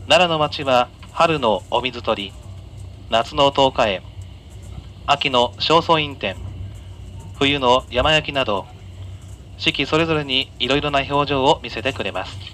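A man working as a train conductor, giving polite, formal announcements and sightseeing guidance to passengers in a measured way. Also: medium pitch, bright, forceful tone. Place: inside a moving train, over the announcement system. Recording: on-board PA captured amid train noise. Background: faint train running noise.

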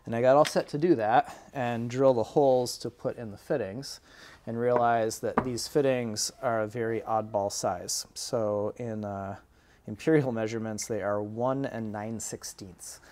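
A man in his thirties talks through his woodworking project in an easy, unhurried way, dropping frequent "uh" fillers. His voice sits in a middle pitch. He is recorded on a lapel mic in an indoor boat shop, with faint workshop noise behind him. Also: darkish tone. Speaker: boatbuilder. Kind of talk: casual workshop explanation.

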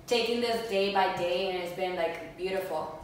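A woman's voice: lowish voice, moderate pace, a little hiss or hum. A woman in her twenties from Puerto Rico, a Spanish native speaker, talking simply about herself with many "um" fillers. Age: 20s. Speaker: actress, guest. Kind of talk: casual chat. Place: living room, on a couch. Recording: echoey room, far from mic.

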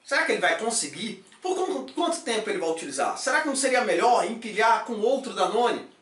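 A man's voice: high voice, very animated, brisk, fairly bright tone, far from the mic. A man in his thirties hosting a casual fitness vlog, talking animatedly with jokey catchphrases. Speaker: fitness supplement YouTuber. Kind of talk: energetic casual vlog chat. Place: small room with shelves of supplements. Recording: echoey room, voice off-mic.

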